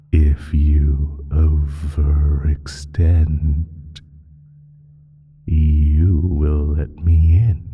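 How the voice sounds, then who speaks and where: Extremely deep, husky ancient evil creature. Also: male voice, phone-quality sound, heavily acted. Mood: sly, warning. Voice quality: breathy, husky, slipping into whispers. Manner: slow, deliberate, menacing, drawn-out phrasing. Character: ancient evil male primordial creature, sinister, smug, toying with mortals. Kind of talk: dramatic audio roleplay monologue.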